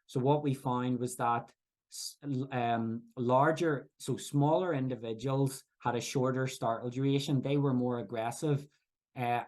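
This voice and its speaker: A man from Belfast with a Belfast accent, presenting research at a workshop in a halting, explanatory way with many restarts. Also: mid-range voice, darkish tone. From Northern Ireland. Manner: hesitant, frequent fillers and restarts, explanatory. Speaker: researcher giving a workshop talk. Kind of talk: academic presentation.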